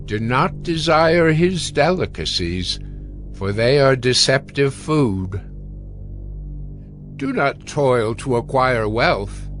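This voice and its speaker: A man reads scripture slowly as a dramatized narration, his pitch moving expressively through each line. His manner is solemn and admonishing, with music playing underneath. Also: a bit bright. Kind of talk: dramatized scripted audiobook reading.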